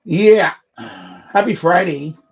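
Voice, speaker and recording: Raspy, high-pitched man, casual livestreamer. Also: sing-song, drawn-out words. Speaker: livestream host. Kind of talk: casual livestream chat, muttering to himself. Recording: dull, muffled livestream audio.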